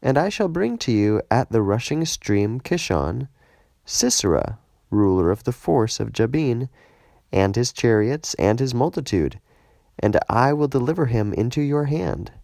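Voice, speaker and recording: A man's voice: medium pitch, sing-song, unhurried, gravelly, darkish tone, dry recording, studio quality. A man voicing a commanding prophetess who urges a warrior on, in an emphatic declamatory way, in a scripture audiobook. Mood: firm.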